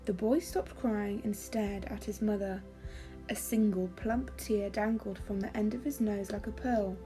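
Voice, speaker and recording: A woman storyteller giving a calming, expressive, measured reading of a children's fairy story. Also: on the high side.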